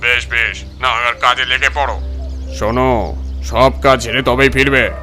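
A commanding office manager from a cartoon story , put on by a male narrator. He is brisk and curt, with a medium pitch and a sharp, forceful tone. Light music plays behind him. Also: steady tone. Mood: bossy, brisk.

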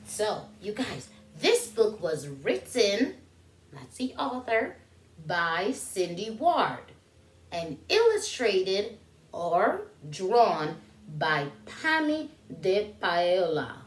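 A woman in her thirties leading children's story time, reading aloud in an animated, questioning way and stretching out key words for young listeners. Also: medium pitch, very slow. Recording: roomy indoor echo.

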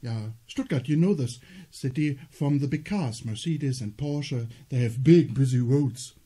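Gentle German-accented man, dark voice. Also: mid-range voice, breathy edge. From northern Germany. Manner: gentle, halting, slow storytelling with pauses. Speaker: theater performer. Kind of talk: solo storytelling skit.

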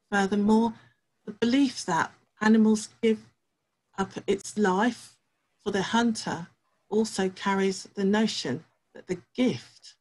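A middle-aged woman researcher reading a prepared talk from her home study, measured and careful, with pauses. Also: medium pitch, breathy edge, low-quality recording.

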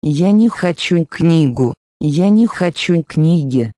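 Mellow voice repeating phrases slow and careful, dry booth sound. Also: medium pitch, animated, dry recording. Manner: slow, deliberate enunciation. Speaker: Russian phrase reader. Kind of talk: slow scripted phrase reading.

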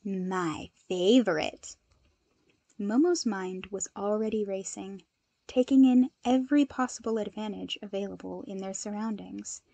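A woman reading fan fiction aloud as an expressive story narrator. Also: mid-range voice, vocal fry, no room sound. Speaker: podfic reader.

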